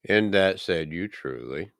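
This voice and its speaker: Man reading as a deep, solemn holy teacher. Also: animated, unhurried, gravelly, fairly bright tone, dry recording, studio quality, full character voice. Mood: solemn, authoritative. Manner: solemn, declarative, weighty emphasis. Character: calm, authoritative male holy teacher from scripture.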